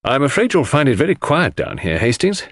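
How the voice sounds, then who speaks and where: A man voicing an exasperated, outspoken English country gentleman with slangy upper-class talk in an audiobook. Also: medium pitch, huge pitch swings, brisk, no room sound, studio quality, voice pushed up, exaggerated voice. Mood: genial. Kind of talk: audiobook character dialogue.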